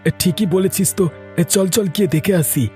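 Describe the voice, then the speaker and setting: A man playing a brisk, commanding older father and healer in an audio drama, with a husky voice. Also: sits high, warm tone, faint music behind, lightly acted. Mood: urgent, commanding. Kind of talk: Bengali audio drama acting.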